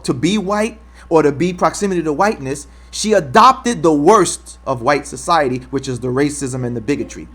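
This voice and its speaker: A man hosting his own commentary show, arguing emphatically and repeating his points with frequent rhetorical "right?". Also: fairly high pitch. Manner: emphatic, repetitive, argumentative. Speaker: host. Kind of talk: opinion commentary on a clip.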